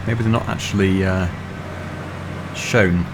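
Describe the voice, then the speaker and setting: Guy, bit hoarse, lowish voice, rambling game commentary, noisy background. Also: steady tone, slow pace. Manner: chatty, thinks aloud with fillers. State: slightly hoarse. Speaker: gaming channel host. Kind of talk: casual gameplay commentary.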